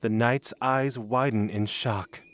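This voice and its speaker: A man's voice: medium pitch, steady tone, slow pace, fairly bright tone. A man reading scripted narration for a fantasy audio drama.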